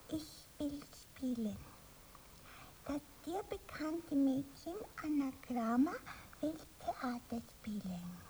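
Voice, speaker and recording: A woman playing a young aspiring actress in a radio play, dreamy, wistful and proud, with breathy theatrical musing. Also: high voice, warm tone, a little hiss or hum, low-quality recording. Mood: pleading. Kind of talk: radio play monologue and dialogue.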